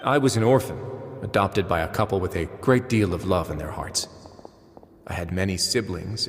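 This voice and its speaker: An actor playing a calm, composed male prison warden in a game, speaking in a low, measured voice. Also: slight background noise, natural voice. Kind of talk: game voice acting.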